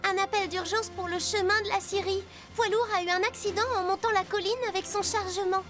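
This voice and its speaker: A woman voicing a caring young female rescue helper and announcer in a dubbed children's cartoon, speaking out clearly. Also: very high-pitched, steady tone, brisk. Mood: concerned. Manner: clear announcing and urgent calling out. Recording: very loud music behind the voice.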